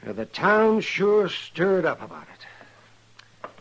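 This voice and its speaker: An American actor playing a crusty, fretful older town doctor, folksy and querulous, in a radio western. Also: male voice, sits high, sing-song, slow pace, a bit bright, heavily acted. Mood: fretful, indignant. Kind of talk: 1950s radio western acting. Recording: noisy vintage radio recording.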